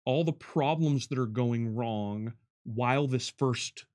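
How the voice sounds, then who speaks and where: A man's voice: medium pitch, takes it slowly, darkish tone. A man in casual conversation as a game developer, explaining and answering questions, rewording carefully as he thinks aloud. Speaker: game developer giving commentary.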